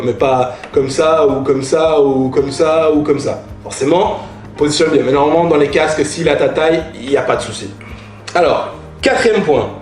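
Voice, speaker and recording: Casual man with higher voice, echoey sound. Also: darkish tone. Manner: informal, slangy, emphatic repetition. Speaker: motorcycle vlogger reviewing gear. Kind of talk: casual product review talk to viewers.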